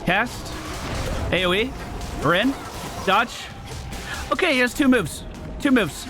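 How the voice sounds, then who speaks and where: A man's voice: very high voice, animated, slow pace, over music. A man giving casual video game commentary, playfully thinking aloud and repeating his phrases. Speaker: gaming video host.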